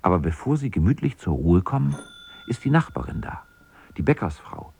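A man narrating a radio play as a calm storyteller in a scripted style. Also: low-pitched, normal speed, vocal fry, exaggerated voice.

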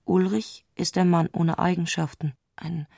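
Eine Frau spricht in einem literarischen Hörspiel die introspektive Erzählerin, die mit bedachten Pausen die Gedanken einer aufgewühlten Frau schildert. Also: female voice, fairly low pitch, flat delivery, vocal fry, darkish tone, no echo, studio quality. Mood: introspective, hushed. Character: intimate, introspective female narrator of a troubled woman's thoughts. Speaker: narrator in a literary radio play. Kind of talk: literary radio drama narration.

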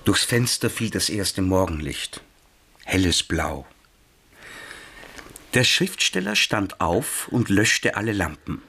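A man narrating a radio play, with measured, dramatic literary storytelling. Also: sits low, very animated, pushed and bright, husky, exaggerated voice.

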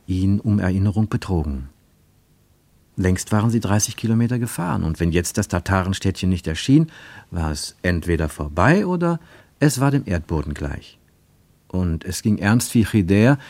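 Low-voiced male radio-play narrator. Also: a little mellow, no room sound. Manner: measured, literary storytelling.

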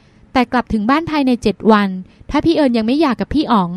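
A woman's voice: high voice, animated, quick pace, a little mellow, no room sound. A woman reading the part of a stubborn, teasing young woman, a petulant younger sister, in an audiobook. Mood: cool, stubborn.

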